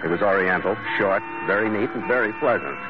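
A male actor playing a tough, wry ship's skipper in an old-time radio drama, gruff and hard-boiled. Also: fairly low pitch, a little fast, over music, close to an everyday voice. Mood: tense narration. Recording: muffled, noisy old radio recording.

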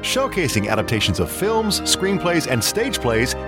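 A man announcing a radio theater show in a grand, drawn-out manner. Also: a little high, big pitch swings, music in the background.

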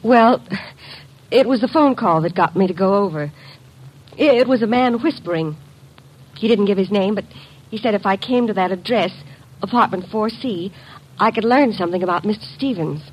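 A woman's voice: mid-range voice, moderate pace, pauses often, husky, lightly acted. An actress in an old-time radio drama playing a young lady witness, sweet but evasive. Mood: explaining. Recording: slightly noisy vintage radio recording.

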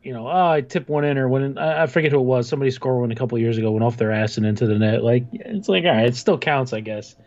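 A man's voice: mid-range voice, quick pace, hardly any pauses, darkish tone, lo-fi sound. A man co-hosting a podcast, chatting casually about sports. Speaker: podcast co-host.

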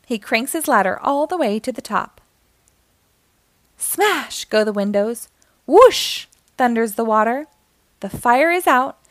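A woman narrating a children's picture book aloud with animated, dramatic storytelling aimed at young children. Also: fairly high pitch, a little slow, dry recording. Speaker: storybook reader, host.

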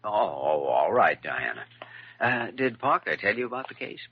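A man's voice: on the low side, very animated, normal speed, a little hiss or hum. An American man acting a dedicated, courteous young hospital doctor in an old-time radio drama. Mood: earnest. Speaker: lead actor in a radio drama. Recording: dull vintage radio recording with music.

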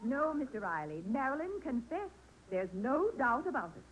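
Casting: proper, insistent schoolteacher, high and very animated, muffled vintage radio. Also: female voice, moderate pace. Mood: stern. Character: earnest, insistent woman schoolteacher. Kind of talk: 1940s radio comedy acting. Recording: dull, noisy old radio recording.

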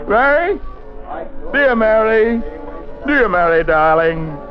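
An actor playing a drunken, boastful, maudlin American husband in a classic radio drama, slurring and rambling. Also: male voice, sits high, animated, takes it slowly. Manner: slurred, rambling, repetitive tipsy talk. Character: drunken married man, boastful, maudlin and reckless. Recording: dull vintage radio recording, music behind.

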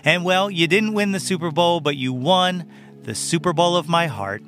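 A man's voice: a little high, expressive, moderate pace, fairly bright tone, faint music behind. A man hosting a podcast, talking in an informal, jokey way. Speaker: podcast host. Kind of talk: casual podcast talk and outro.

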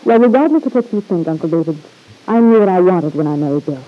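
A woman's voice: on the low side, animated, fast talker, close to an everyday voice. An actress playing a proud, hard-edged young married woman in an old-time radio drama, with cool, sardonic retorts. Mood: defiant, determined. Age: young adult. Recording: dull, lo-fi vintage radio recording.

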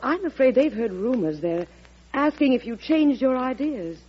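Husky, worried secretary, old radio. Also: female voice, sits high, expressive, moderate pace, dull audio. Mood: anxious, urging. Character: worried, deferential female secretary to the president. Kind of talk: 1940s radio drama acting.

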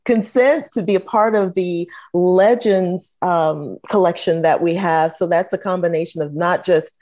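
A woman's voice: fairly low pitch, fairly even intonation, measured pace, a little mellow. A woman archivist and researcher speaking enthusiastically on a panel. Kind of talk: panel discussion.

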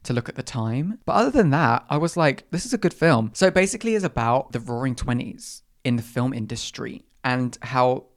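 Young adult, croaky voice, sassy storyteller, dramatic reactions, mid pitch. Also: fairly quick, hardly any pauses. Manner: sassy, dramatic, acts out its own reactions with big pitch jumps. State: croaky after a run. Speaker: podcast host. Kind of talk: casual storytelling monologue.

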